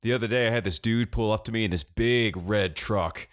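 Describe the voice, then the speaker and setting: A man's voice: lowish voice, brisk, voice pushed up, lightly acted. A man plays a young American prankster in an audiobook story. He talks casually, swears freely and teases with open, mischievous amusement. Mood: amused, scornful.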